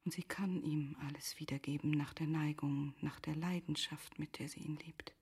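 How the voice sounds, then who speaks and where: An actress playing a grieving, resigned noblewoman in a literary radio play, speaking in a hushed, solemn and deliberate way with a whispery voice. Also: low voice, fairly even intonation, exaggerated voice. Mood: anguished self-questioning. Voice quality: breathy, whispery. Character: grieving, resigned noblewoman, composed and self-reproaching.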